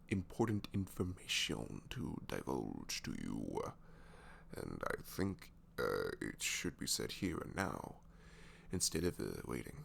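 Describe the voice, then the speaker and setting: A man playing a goofy young best friend in an ASMR roleplay, halting and mock-professional. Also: low voice, vocal fry, a little hiss or hum, heavily acted. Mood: awkward, mock-formal.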